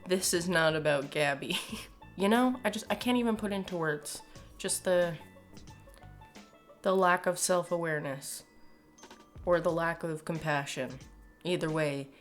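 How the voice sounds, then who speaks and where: Youngish canadian woman chatting opinions at the camera, kinda low voice, sardonic and fed up, music behind her. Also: measured pace, long pauses. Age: young adult. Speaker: video commentator. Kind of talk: casual opinion commentary to camera. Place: small home room, indoors.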